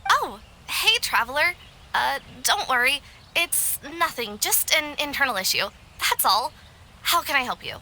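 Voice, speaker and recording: A woman's voice: high voice, animated, measured pace, noisy background. An actress playing a worried but friendly young female editor in a video game. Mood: helpful.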